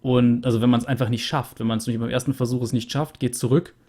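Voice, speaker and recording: A man's voice: mid-range voice, little pitch movement, quick pace, warm tone. A young man hosting a let's play, chatting casually and a bit rambly as he sums up a game, recorded on a USB studio mic at home. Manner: chatty and rambling, with many fillers. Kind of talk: casual game wrap-up commentary. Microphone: USB studio microphone.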